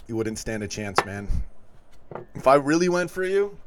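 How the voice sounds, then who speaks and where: A man's voice: mid-range voice, big pitch swings, normal speed, noisy background. A man hosting a livestream, reading chat comments aloud and arguing back in a casual, combative way. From not yet been to Liverpool. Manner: argumentative, reacts to chat comments, laughs often.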